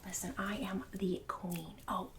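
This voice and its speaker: A woman's voice: mid-range voice, fairly even intonation, a little slow, distant mic, a little hiss or hum, close to an everyday voice. A woman playing a snobbish, bossy suburban book club hostess in an ASMR roleplay, condescending and catty with fake-sweet insults. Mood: catty, judgmental. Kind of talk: ASMR roleplay, whispered and soft-spoken.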